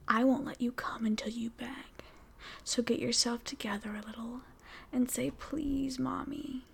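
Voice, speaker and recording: Woman, whispered roleplay voice, teasing and coaxing, mellow with a gravelly edge. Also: on the high side. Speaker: audio roleplay performer.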